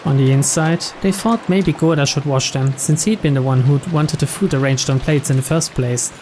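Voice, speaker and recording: A man narrates story text from a visual novel, reading it aloud. His voice sits a little higher than most men's. Clear background noise runs behind him. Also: moderate pace, darkish tone. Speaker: let's player reading game text aloud.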